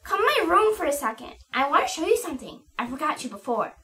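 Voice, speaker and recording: Woman playing an excitable, very high-voiced little girl. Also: fast. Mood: playful, teasing. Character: excitable playful little girl, youngest sister. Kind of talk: amateur radio play acting.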